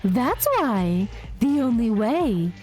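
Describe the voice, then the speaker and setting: Brief: woman voicing a cruel, playful bear mascot from a game, high and swooping, slow sing-song taunts. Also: lively intonation, dark tone. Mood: gleeful taunting. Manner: sing-song, teasing, drawn-out delivery. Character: mischievous male bear mascot, gleefully cruel. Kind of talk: voicing a game's dialogue aloud.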